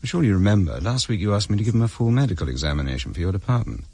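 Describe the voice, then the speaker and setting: A low, husky male voice a brisk doctor in a radio play. His delivery is businesslike and swoops animatedly, in a close, dry recording. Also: lively intonation, warm tone, dry recording. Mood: brisk, insistent. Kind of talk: radio drama acting.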